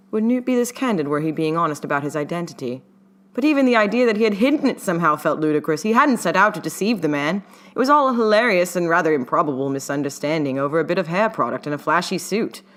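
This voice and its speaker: A woman's voice: lowish voice, lively intonation, fast, fairly bright tone. A woman reading a scripted story aloud as its narrator and author. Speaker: author reading own fan fiction.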